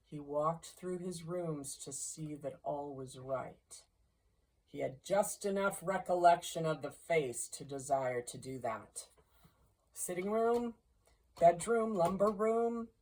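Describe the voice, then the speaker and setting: A woman narrating a classic storybook in a deliberate, expressive way, pausing between short phrases. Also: lowish voice, poor audio. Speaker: amateur audiobook reader.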